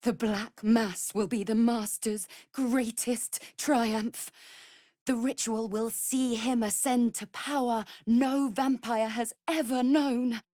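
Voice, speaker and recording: A woman's voice: a little high, steady tone, slow, mellow, dry recording, clean studio sound. A woman playing an anxious, devoted vampire spawn in a fantasy video game, speaking formally and earnestly. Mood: formal, guarded. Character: anxious, devoted female vampire spawn serving her master.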